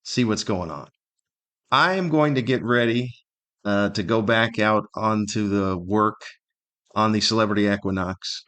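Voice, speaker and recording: A man's voice: fairly low pitch, a little slow, fairly bright tone. Grumbling middle-aged male podcast host. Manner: grumbling, incredulous rhetorical questions. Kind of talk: humorous opinionated solo monologue.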